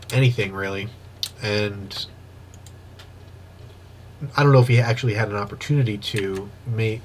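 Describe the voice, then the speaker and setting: A man with a stuffy, sniffly nose giving excitable play-by-play commentary on a strategy game replay, with casual asides, on an old microphone. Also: on the low side, a little hiss or hum. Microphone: old microphone he wants replaced.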